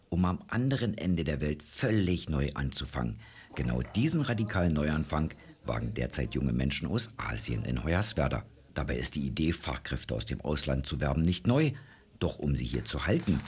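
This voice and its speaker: A man's voice: very deep voice, noisy background. A man narrating a scripted regional news report as the reporter.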